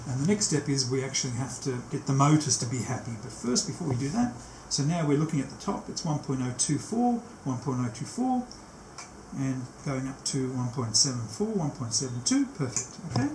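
A man's voice: mid-range voice, very animated. Echoey workshop demonstration by an older man. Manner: step-by-step explaining, often pausing mid-task. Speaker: technician demonstrating a tape machine setup. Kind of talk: hands-on demonstration, unscripted walk-through. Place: small cluttered indoor workshop. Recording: echoey room, faint background noise.

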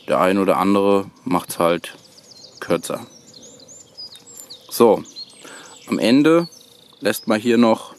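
A man's low voice at a woodland campsite, slowly and haltingly showing a beginner a practical trick while birds sing. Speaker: host of a bushcraft tutorial channel. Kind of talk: hands-on how-to demonstration. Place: outdoors on a woodland floor, birdsong behind.

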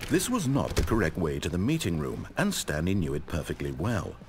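Theatrical, mocking game narrator. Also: male voice, low voice, very animated, a little fast, a little hiss or hum. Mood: wry, storybook calm. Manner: theatrical, mocking, condescending, articulate. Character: omniscient sardonic male narrator toying cruelly with his character. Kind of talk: scripted storybook-like video game narration.

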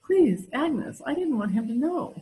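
A woman's voice: sits high, sing-song, unhurried, warm tone. A middle-aged woman playing a genteel, put-upon wife in an amateur old-time radio comedy. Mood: plaintive. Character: melodramatic, put-upon wife feigning frailty, sweetly genteel. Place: room at home, video call. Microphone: video call.

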